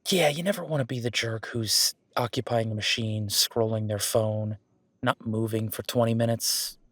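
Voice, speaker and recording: Guy acting as a friendly werewolf boyfriend, lowish voice, chatty gym coaching, encouraging, roleplay audio, really dry close recording. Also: normal speed, fairly bright tone, no room sound. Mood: instructive, relaxed. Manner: casual, encouraging, rambling. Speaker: gym partner giving workout guidance. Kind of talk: roleplay audio drama, one-sided casual conversation.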